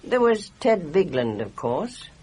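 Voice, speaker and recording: An actress playing a gossipy, opinionated village nurse with a British accent, chatty and judgmental in a radio drama. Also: female voice, lowish voice, sing-song, unhurried, darkish tone, slightly rough, full character voice. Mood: sceptical, emphatic. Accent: British English.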